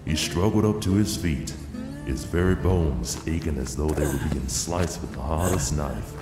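A man's voice: a bass voice, moderate pace. A man narrating an audio drama as a grave, solemn storyteller of a western tale. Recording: echoey long reverb under loud music. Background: music.

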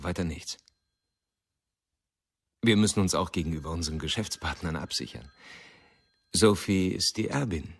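An actor playing a persuasive, businesslike literary agent in a radio drama, pressing and emphatic, with a husky voice. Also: male voice, low-pitched.

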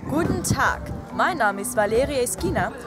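A young woman from Russia, a language teacher, speaking in an animated, precise way outdoors. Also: high-pitched, normal speed, over loud music. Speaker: city ambassador and language teacher. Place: outdoors in a town square.

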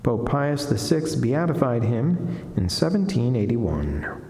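A middle-aged man, a friar, giving a solemn devotional reading in a measured, deliberate, formal way from a lectern in a reverberant chapel. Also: medium pitch, very animated, dark tone, a little hiss or hum. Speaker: friar reading a saint's life and reflection. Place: wood-panelled chapel, indoors. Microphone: lectern gooseneck microphone. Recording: echoey chapel with long reverb.

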